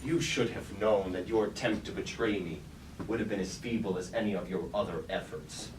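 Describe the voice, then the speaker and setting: A man's voice: fairly low pitch, normal speed, close to an everyday voice. Guy playing angry fallen robot king on stage, grand and bossy, echoey room, bit of noise. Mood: contemptuous. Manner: grand, commanding, archaic formal delivery. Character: proud, wrathful deposed robot warlord king. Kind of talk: staged reading of a mock Greek tragedy. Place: indoor staged reading at a university. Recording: roomy, distant stage recording with light noise.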